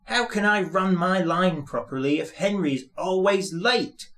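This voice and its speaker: A man voicing a proud, impatient little male tank engine in a children's storybook, brisk and bossy. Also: high-pitched, sharp tone, pitched above the performer's own voice, exaggerated voice. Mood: cross.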